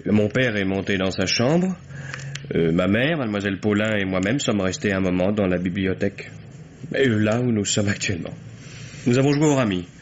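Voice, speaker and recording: Man as a low-pitched, guarded, formal son. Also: close to an everyday voice. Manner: formal, hesitant, curt. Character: reserved, guarded, bitter bourgeois son under questioning. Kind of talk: old French radio mystery acting. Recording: dull, noisy old radio drama recording.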